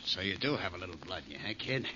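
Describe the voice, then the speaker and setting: A sneering, hot-tempered troublemaker in a 1940s radio drama. His mid-pitched voice is lively and belligerent, on a dull recording with clear noise. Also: fast talker. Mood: taunting. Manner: taunting, belligerent. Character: sneering, hot-tempered ne'er-do-well brother.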